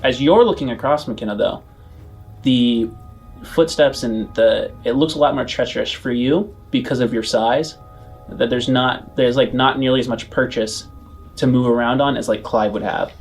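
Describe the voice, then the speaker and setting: Man, game master, medium pitch, conversational tabletop narration, music behind. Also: moderate pace, breathy edge.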